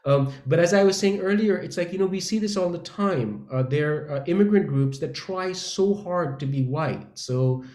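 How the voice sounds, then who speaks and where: A man speaking as a panelist in a casual discussion, halting and correcting himself as he searches for words. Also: high-pitched, a little mellow. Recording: echoey room sound.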